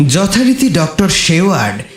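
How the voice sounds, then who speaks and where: A man tells a horror story with theatrical suspense. His fairly high voice moves with very lively intonation, carried in a roomy reverb. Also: normal speed. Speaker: narrator. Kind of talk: dramatic scripted horror story narration. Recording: roomy reverb, faint music behind.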